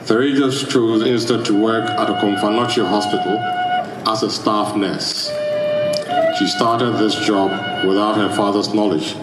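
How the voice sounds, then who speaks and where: Man, Ghanaian accent, formal measured reading, very echoey sound, loud flute music. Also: fairly low pitch, steady tone. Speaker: funeral biography reader.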